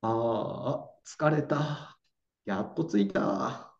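A young man acting a calm, gentle boyfriend in a slow, clear radio drama for learners. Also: medium pitch, slight background noise. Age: young adult. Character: Naoto, young man staying in his hometown. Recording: dull lo-fi audio.

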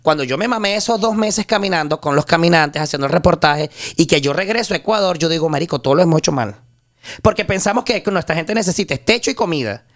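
A Venezuelan man telling a story in a casual podcast chat, slangy and animated, often restarting his sentences. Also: a little high, quick pace. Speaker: podcast guest, journalist.

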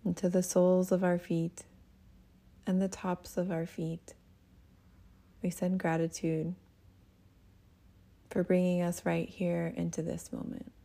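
Slow, mellow woman guiding meditation. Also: on the low side, flat delivery. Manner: gentle, soothing, breathy phrase endings. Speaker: meditation teacher. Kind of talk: guided meditation.

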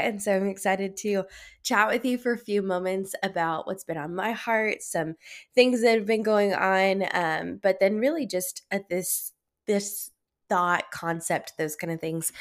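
A woman's voice: medium pitch, a little slow. Stuffy-voiced woman hosting a solo podcast. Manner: warm, reflective, with fillers and restarts. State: head cold, stuffy. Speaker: podcast host. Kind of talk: solo podcast talk, encouraging.

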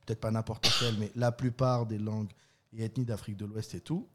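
A man's voice: on the low side, a little fast, heavy background noise. A man co-hosting a casual podcast, telling stories with lots of restarts and laughter. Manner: animated storyteller, stammering restarts, laughs often. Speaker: podcast co-host. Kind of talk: casual chat.

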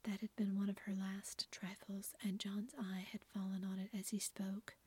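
Whispering woman narrator, breathy, flat, close and dry. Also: mid-range voice, normal speed, no echo. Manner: soft unvoiced whisper. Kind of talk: whispered ASMR story reading.

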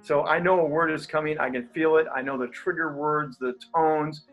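A man's voice: on the high side, normal speed, muffled recording, soft background music. A man who is a pastor and coach, speaking as an interview guest with energy and easy laughter, who has a stutter. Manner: energetic, animated, laughs easily. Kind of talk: interview guest, casual talk.

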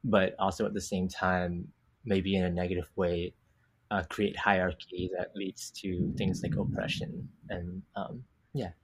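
A man's voice: low-pitched, little pitch movement, unhurried, slight background noise, poor audio. A young man from Vietnam, a guest on a podcast, talking thoughtfully and unhurriedly about his work, with many filler words. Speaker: podcast guest, comic artist. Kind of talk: casual interview talk.

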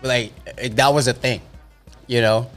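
A man's voice: mid-range voice, steady tone, a little slow, fairly bright tone, faint music behind. A man in his thirties from the Philippines, chatting casually about growing up, rambling and correcting himself as he goes. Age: 30s. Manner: rambling, self-correcting storyteller. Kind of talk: casual chat.

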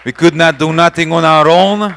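A man's voice: on the high side, steady tone, normal speed, gravelly, fairly bright tone, some chatter behind. A middle-aged man from Hungary with a Hungarian accent, preaching his testimony to a church and calling out "amen" often. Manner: fervent, frequent "amen" call-outs. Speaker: visiting missionary preacher.

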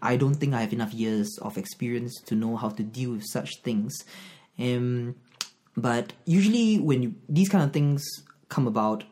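A man's voice: medium pitch, moderate pace, warm tone. A man from Singapore with a Singaporean accent, a Bible teacher talking earnestly as a podcast guest and laughing easily. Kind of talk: podcast conversation.

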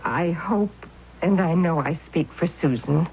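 An actress playing a devoted, worried middle-aged aunt with earnest, emotional pleading in a 1970s radio drama. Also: female voice, on the low side, a little slow. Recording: dull old radio broadcast with faint hiss.

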